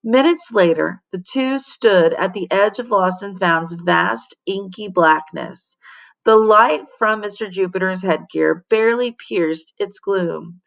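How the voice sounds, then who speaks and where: A woman's voice: medium pitch, slow pace, vocal fry, fairly bright tone. A woman reading a children's story expressively, as a teacher does for students. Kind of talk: expressive storybook read-aloud.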